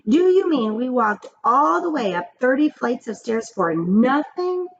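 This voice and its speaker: A blustering, gullible storybook robber man, acted by a woman reader. He sounds demanding and incredulous, with high, swooping pitch on a slightly dull recording. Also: sing-song, a little slow, full character voice. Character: demanding but gullible masked male robber.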